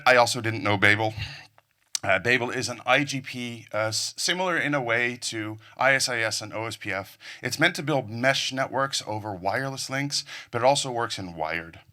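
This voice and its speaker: A man's voice: medium pitch, moderate pace, pushed and bright. A middle-aged man from the Netherlands whose English sounds American, giving a technical conference talk from a stage with joking, self-deprecating asides. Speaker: conference speaker.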